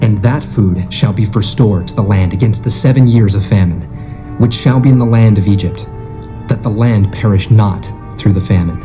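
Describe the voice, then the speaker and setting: An actor playing a solemn, assured young Hebrew dream interpreter in a dramatised scripture reading, declaiming in a measured, earnest way. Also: male voice, on the low side, music in the background, lightly acted. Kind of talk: dramatised scripture reading in King James English.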